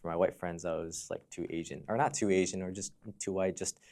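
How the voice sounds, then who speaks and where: A man with an American accent and a notably deep voice. He talks as an interview guest, explaining himself reflectively in a conversational, storytelling style. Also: level tone, brisk, vocal fry, darkish tone. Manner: reflective, explanatory, often says "you know". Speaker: podcast guest, fire dancer and entrepreneur.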